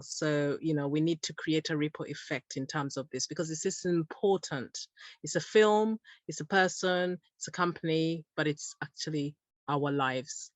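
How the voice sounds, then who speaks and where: A host running a video-call discussion, warmly thanking speakers and inviting the next one in turn. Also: medium pitch, dry recording. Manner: encouraging, moves the talk along.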